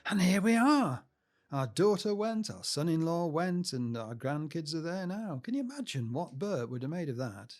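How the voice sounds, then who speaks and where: A man's voice: a little high, very animated, moderate pace, darkish tone. An actor playing a cheerful, talkative middle-aged British husband and proud grandfather in a naturalistic audio drama, reflective and sentimental as he chats. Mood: warm, reflective. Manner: chatty, reflective speech-making.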